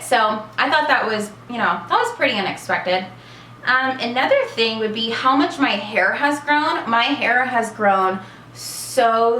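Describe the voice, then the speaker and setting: Casting: chatty woman in her twenties, vlogger, bright voice, echoey room, faint hiss. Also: mid-range voice. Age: 20s. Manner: chatty and bubbly, many fillers. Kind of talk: casual Q&A vlog.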